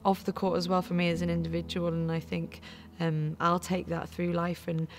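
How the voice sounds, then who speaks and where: A woman in her thirties, a netball captain, speaking thoughtfully with halting fillers in a seated interview. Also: fairly low pitch, level tone. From Wales. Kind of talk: reflective interview. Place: studio before a backdrop.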